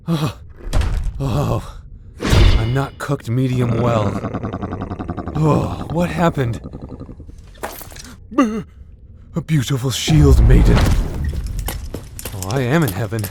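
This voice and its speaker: A man playing a timid, soft-hearted young knight in an ASMR fantasy roleplay, stammering nervously and putting himself down. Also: mid-range voice, animated, extremely slow, gravelly, long pauses, heavy background noise, heavily acted. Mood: dazed, awed. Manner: stammering, self-deprecating, nervous.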